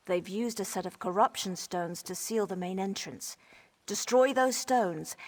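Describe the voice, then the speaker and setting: An actress playing an exasperated, wry mage scholar in a video game, explaining things articulately. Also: female voice, mid-range voice, close to an everyday voice. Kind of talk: conversational video game dialogue.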